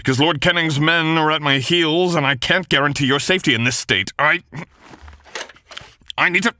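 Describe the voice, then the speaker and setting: A man's voice: on the high side, normal speed, pushed and bright, a little hiss or hum. A man voicing a young male knight, wounded, gallant and protective, speaking in an old-fashioned courtly way. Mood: urgent. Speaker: voice actor. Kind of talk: boyfriend ASMR audio drama.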